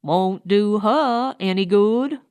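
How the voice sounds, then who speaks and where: Woman reading as a chatty fat crook, clear-voiced. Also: medium pitch, takes it slowly, a little mellow, no room sound, natural voice. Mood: resigned. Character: fat, talkative, whiny male crook, resigned. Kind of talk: audiobook character voice.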